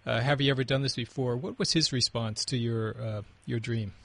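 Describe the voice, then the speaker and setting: Halting male interviewer. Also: medium pitch, steady tone, gravelly, a bit bright. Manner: halting, repeats words as he starts. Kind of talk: interview questions.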